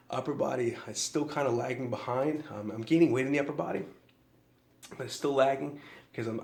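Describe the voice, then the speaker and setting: A man's voice: medium pitch, vocal fry, darkish tone, roomy sound. A man vlogging a casual fitness update, a bit nasal from a cold, loose and rambling with many ums and restarts.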